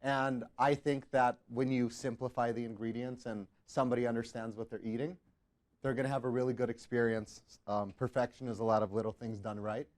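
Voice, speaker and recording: Male home cook of thirty, mid-pitched, talking casually as a cooking contestant. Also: level tone, brisk, a little mellow. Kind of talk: casual group discussion.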